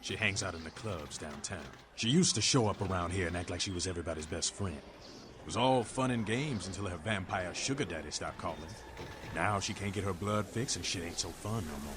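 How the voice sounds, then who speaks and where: A man's voice: deep voice, fairly quick, background noise, close to an everyday voice. A man voicing a blunt, streetwise vampire anarch and war veteran in a video game, talking in slangy, preaching fashion. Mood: wry, irritated. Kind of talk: video game character dialogue. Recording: background music under the voice.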